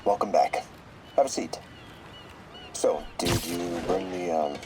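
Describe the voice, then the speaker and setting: A shrewd therapist in a game audio log, with a low voice. He is clinical, measured and probing, quietly calculating. Faint noise sits behind him.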